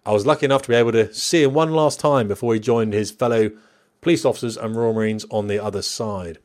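Male memoir narrator, middle-aged, lowish pitch, measured and reflective scripted read. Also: darkish tone.

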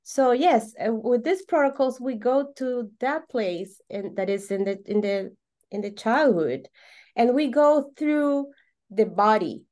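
A woman with a fairly high voice and a Venezuelan Spanish accent talks as a guest coach in an interview. She explains emphatically in halting second-language English, often doubling back on words as she builds her point. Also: expressive, a little mellow. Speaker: podcast guest, holistic coach.

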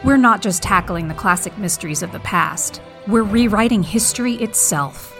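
A woman's voice: medium pitch, animated, moderate pace, a bit bright, faint music behind. A woman reading scripted promotional narration as a podcast announcer, grandiose and mock-dramatic.

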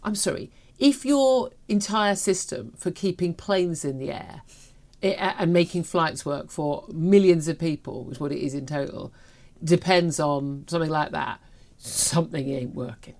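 A woman's voice: low-pitched, normal speed, a little mellow. A British woman in her fifties talking through the news as a talk show host, chatty, emphatic and opinionated. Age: 50s.

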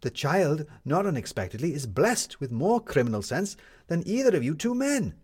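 A man's voice: fairly high pitch, big pitch swings, moderate pace, darkish tone, breathy edge, voice pushed up. A man voicing a commanding, wry woman reporter in a story, articulate and sardonic as she lectures. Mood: scornful.

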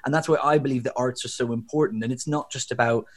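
Young guy w a faint northern irish accent, interview guest, rambly and reflective, mid-pitched voice. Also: steady tone, fast. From Northern Ireland. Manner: reflective, rambling with fillers and restarts. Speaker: guest, theatre director. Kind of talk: conversational interview.

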